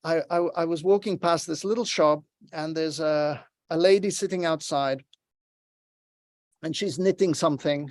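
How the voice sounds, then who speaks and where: Earnest man presenting a workshop, higher voice. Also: darkish tone, dry recording, clean studio sound. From Lebanon. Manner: earnest, encouraging, storytelling. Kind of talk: online workshop talk. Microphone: video call.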